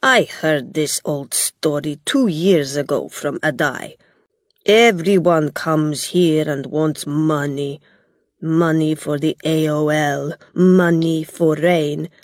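A cold, greedy male tyrant, read out by a woman, snapping curt orders in a slow, lowered voice. His words land loud and clipped, with no warmth at all. The sound is close and dry, with no room around it. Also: no echo. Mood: angry.

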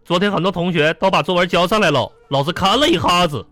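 A woman playing an exasperated, mocking primary school teacher with drawn-out, sing-song endings in a comedy radio play. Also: lowish voice, a bit bright, soft background music, lightly acted. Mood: scolding.

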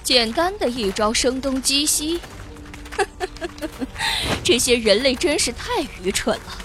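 A woman playing an arrogant, mocking dark elf villainess in a radio drama, haughty and fanatically loyal. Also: sits high, lively intonation, slow, music in the background. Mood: smug mockery. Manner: haughty, taunting.

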